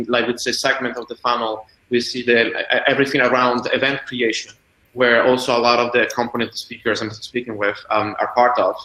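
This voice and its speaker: Halting male panelist, echoey room. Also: medium pitch, pushed and bright. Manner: halting, many "um" pauses. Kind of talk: panel discussion answer. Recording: roomy echo.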